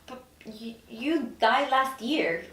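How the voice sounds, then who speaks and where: A woman acting an indignant, bewildered newcomer in a table read of a TV dramedy, expressive and incredulous. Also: fairly high pitch, slow, exaggerated voice. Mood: shocked, confused. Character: indignant, bewildered newly dead woman in hell. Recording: echoey room, distant mic, faint noise.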